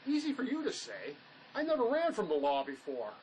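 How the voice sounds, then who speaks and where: A male actor plays a grumbling, skeptical fugitive in an audio drama. His sardonic delivery swings widely in pitch. A faint layer of noise runs underneath. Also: huge pitch swings, a little fast, darkish tone, rough recording. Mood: resentful. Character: sarcastic, grumbling, skeptical fugitive man on the run.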